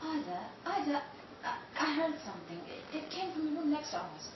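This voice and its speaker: A woman's voice: high voice, sing-song, brisk. A woman playing a nervous, stammering wife frightened by noises at night, in a 1930s-style radio drama. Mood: anxious. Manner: stammering, anxious. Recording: very echoey, distant, dull and noisy.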